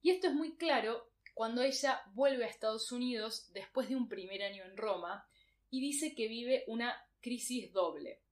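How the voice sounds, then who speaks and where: Measured woman hosting a literature podcast. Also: a little high. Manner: measured, explanatory, reads quotations aloud. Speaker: podcast host. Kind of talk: reflective literary commentary.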